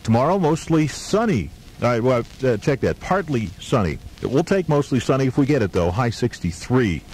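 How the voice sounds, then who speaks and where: A man's voice: medium pitch, very animated, moderate pace, a little mellow, slight background noise, rough recording. A man working as a radio DJ in a casual, self-deprecating way, chatting between songs and weather on a 1980s radio tape. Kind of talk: easygoing radio DJ patter and weather.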